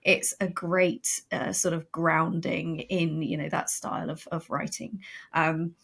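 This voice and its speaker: A woman's voice: low voice, level tone, unhurried, creaky, husky. A woman speaking as a guest on a podcast, talking in a thoughtful, conversational way with frequent fillers. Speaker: creative director and game writer, guest. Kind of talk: casual podcast interview.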